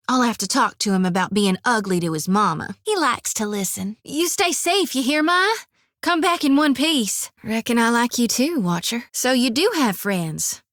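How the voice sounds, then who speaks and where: A folksy young lantern-bearing priestess, drawl, brightish voice, dry booth sound. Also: female voice, a little high, no echo. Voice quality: folksy drawl, earnest and chatty. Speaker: game companion character. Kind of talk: video game voice acting.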